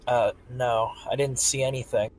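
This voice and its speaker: A man's voice: medium pitch, fairly even intonation, moderate pace, natural voice, low-quality recording. A man playing a weary, guarded starship chief engineer surviving a disaster in a sci-fi game roleplay, plain-spoken and grumbling. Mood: weary, relieved. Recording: noticeable background noise and ambience.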